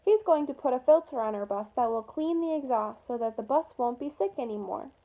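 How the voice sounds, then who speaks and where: Upbeat, high-voiced schoolteacher read by a woman. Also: lively intonation, fairly quick, warm tone. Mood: pleased.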